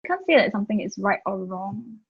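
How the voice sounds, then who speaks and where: A woman's voice: medium pitch, lively intonation, brisk, slightly rough, highs cut off. A woman in her twenties with a Singaporean accent, speaking frankly and laughing as a guest on a casual panel discussion. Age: 20s.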